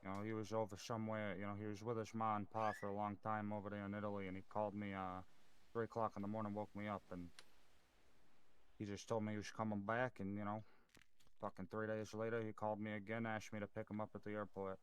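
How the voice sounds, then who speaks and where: Man playing a smooth, even-toned mobster. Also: fairly low pitch, quick pace, close to an everyday voice. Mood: matter-of-fact. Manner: hesitant phrasing peppered with "you know". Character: loyal mobster underling, matter-of-fact and streetwise. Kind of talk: improvised in-character roleplay dialogue.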